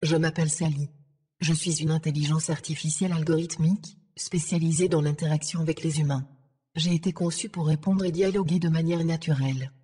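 A synthetic female voice of an AI assistant, giving formal, measured, deadpan scripted information. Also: low voice, husky. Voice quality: synthetic text-to-speech voice. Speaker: AI ship assistant.

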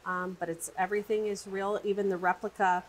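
A woman's voice: mid-range voice, flat delivery, fairly quick, rough recording. A woman, the director of a children's museum, explaining its play areas in an upbeat interview with frequent fillers. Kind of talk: casual interview. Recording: audible background noise.

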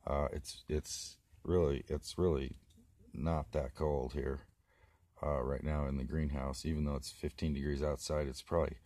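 Hoarse, very deep-voiced man rambling. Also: level tone, normal speed, dark tone, no echo. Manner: rambling, many restarts and fillers. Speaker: vlogger. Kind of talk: rambling casual monologue. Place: small plastic greenhouse, indoors. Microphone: phone camera held close.

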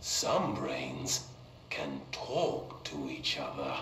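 Very slow, deep kids'-show uncle. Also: male voice, wildly swooping pitch, pushed and bright, exaggerated voice. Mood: patronizing cheer. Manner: slow, singsong explaining to a child. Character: avuncular puppet-show host uncle, adult male, patronizing, short-tempered. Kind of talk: children's educational TV show acting. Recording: echoey room with faint noise.

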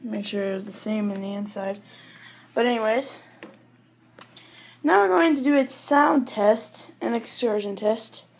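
A woman giving a casual, rambling home review in a halting, offhand manner. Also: fairly high pitch, vocal fry, a little hiss or hum. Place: small bedroom at home, indoors. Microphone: handheld camera or phone.

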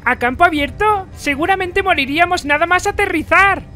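A timid male sidekick from a cartoon game parody as acted in a fandub, whining at a very high pitch with a bright edge. He sounds hesitant and deferential, over faint music. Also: a little fast. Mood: worried. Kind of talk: comedy cartoon fandub acting.

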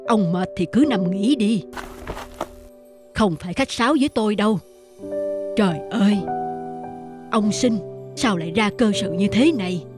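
An actor playing a kindly, concerned elderly man in a cartoon folk tale, speaking in a measured, earnest way. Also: high voice, over music. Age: older. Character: kindly, concerned elderly male old friend.

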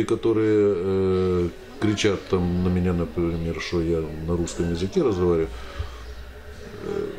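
A man in his fifties from Ukraine, hosting a sit-down interview, speaking in a measured, hesitant way with frequent pauses, on a table microphone in a small indoor cafe. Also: deep voice, warm tone. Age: 50s. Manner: measured, hesitant, pausing often. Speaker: interviewer, host. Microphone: table stand microphone.

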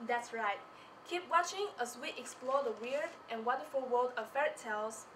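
High-voiced teenage girl presenting from afar. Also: lively intonation, moderate pace, a bit bright. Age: teens. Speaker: student presenter. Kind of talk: scripted stage presentation. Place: small presentation stage indoors. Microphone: far camera. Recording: noisy room, distant microphone.

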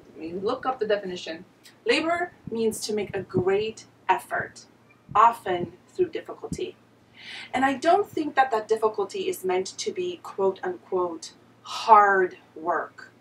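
A woman's voice: medium pitch, far from the mic, a little hiss or hum, low-quality recording. A woman in her thirties from Romania with a Romanian accent, talking earnestly to the camera in a reflective vlog and often restarting her phrases. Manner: earnest and gesturing, with many restarts. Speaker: vlogger.

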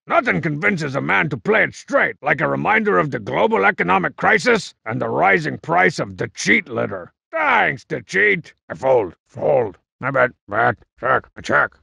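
An actor playing a cocky, boastful, juvenile cartoon villain for a comedy video game, with a raspy growl and mocking, slangy tough-guy delivery. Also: fairly high pitch, very animated, normal speed, few pauses, bright, forceful tone, no room sound, exaggerated voice. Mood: scheming, smug. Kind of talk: exaggerated comic video game character acting.

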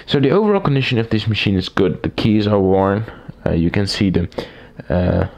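A man with a raspy voice, recovering from a cold, casually reviewing a typewriter at his desk, rambling with fillers and restarts. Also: fairly low pitch, animated, a little fast, darkish tone. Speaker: typewriter collector reviewing a machine. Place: small room at a desk, indoors. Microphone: camera mic, speaker off-camera.

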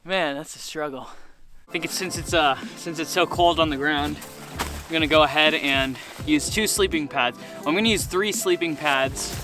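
A man's voice: a little high, normal speed, music in the background. Chatty young man filming himself. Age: young adult. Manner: chatty and easygoing, laughs between remarks. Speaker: camping vlogger. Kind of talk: casual vlog narration. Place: inside a truck, then outdoors in a snowy mountain campsite. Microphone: handheld camera at arm's length.

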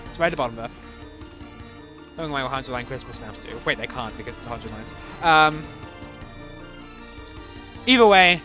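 A young man with a bright, fairly high voice rambles through commentary on levels he built. He stumbles, restarts and sells his own work short. Faint game music plays under his voice. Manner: rambling, self-deprecating, many fillers and restarts. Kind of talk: casual gameplay commentary.

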